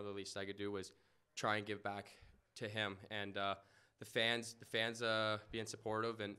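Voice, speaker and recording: A young man answering reporters after a game in an indoor press room, humble and halting, with many fillers and restarts. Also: fairly low pitch, bright, forceful tone, smooth. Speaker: lacrosse goalie. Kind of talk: post-game press interview. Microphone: podium mic in front.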